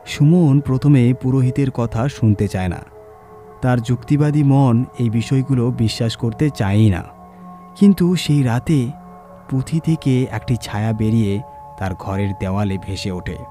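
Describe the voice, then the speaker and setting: A man narrating a scripted horror story in a measured, suspenseful, dramatic storytelling manner. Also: mid-range voice, mellow. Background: music.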